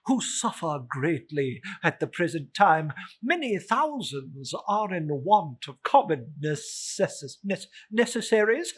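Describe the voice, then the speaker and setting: A portly, jovial Victorian charity collector acted by a man in a storybook reading. He is effusively polite and hesitant, with a lively, swooping pitch and a slightly rough, breathy tone. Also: exaggerated voice.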